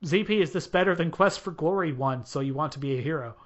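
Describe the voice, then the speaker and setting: Man, podcast host, rambling, joking, hesitant, slightly high voice, muffled lo-fi sound. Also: quick pace. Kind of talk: casual group chat. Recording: dull lo-fi podcast audio.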